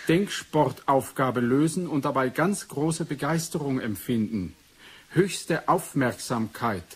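An actor playing an analytical, brooding research doctor in a German 1980s science fiction radio play, speaking in a measured, formal way. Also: male voice, medium pitch, lively intonation, husky. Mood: detached, analytical. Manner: measured, formal, clipped dictation.

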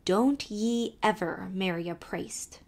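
Storybook part: a woman playing a jolly old Irish peddler man, sly brogue, lively swooping delivery. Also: a little high, measured pace, voice pushed up. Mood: teasing. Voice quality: thick brogue, sly, teasing.

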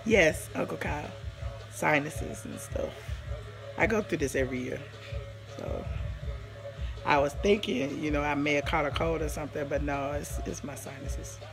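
A hoarse woman in her forties, chatting casually on a live stream from her living room, rambling and greeting viewers by name, with a phone held at arm's length. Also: low-pitched, lively intonation, moderate pace, long pauses, music in the background. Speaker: livestream host. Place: living room at home, indoors.

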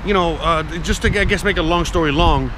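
A man's voice: on the high side, fairly quick. A man in his thirties rambling casually to an arm's-length camera while walking outdoors on a sunny city street. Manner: rambling and chatty, frequent fillers. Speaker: vlogger. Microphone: action camera held at arm's length. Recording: loud street and wind noise.

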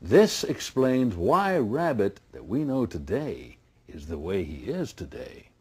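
A middle-aged man telling a folk tale in a folksy, deliberate way with dramatic pauses. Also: fairly low pitch, very animated, husky. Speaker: storyteller. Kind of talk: oral folk tale storytelling.

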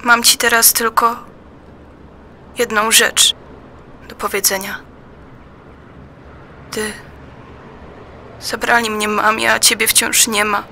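A woman with a high, very bright and tense voice recites dramatically. She is plaintive and reproachful, taking each line slowly and deliberately. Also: flat delivery, gravelly, slight background noise. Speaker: performer in an audio play. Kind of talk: dramatic recitation of a personal poem.

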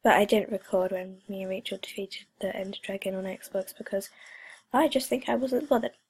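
A woman's voice: medium pitch, normal speed, darkish tone, slightly rough. A teenage girl rambling through casual gameplay commentary, with lots of fillers, frequent drops into whispers, and a slightly stuffy voice from a cold. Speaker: gaming channel host. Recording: noisy home recording with clicks.

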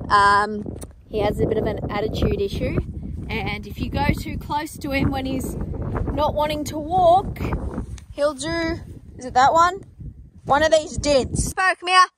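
A young Australian woman with a high voice talks bluntly to her phone camera, amused at her own jokes. Loud wind and engine noise roar behind her. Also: measured pace. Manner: blunt, self-amused, swears freely. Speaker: farmer vlogger. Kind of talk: casual vlog chat. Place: inside a ute, then outdoors in open paddocks. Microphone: phone camera held at arm's length.

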